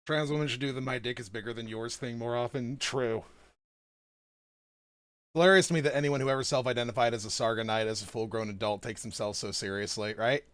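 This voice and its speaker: A man with a bright, forward voice, recorded close and dry. He talks like a casual streamer reacting to videos, blunt and quick to swear. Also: steady tone, dry recording. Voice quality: naturally fairly deep voice.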